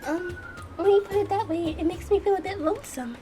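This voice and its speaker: A woman's voice: very high-pitched, a little fast, warm tone, voice pushed up. A woman voicing a timid, naive young heroine in a visual novel, hesitant and apologetic, with music behind. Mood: apologetic, wistful.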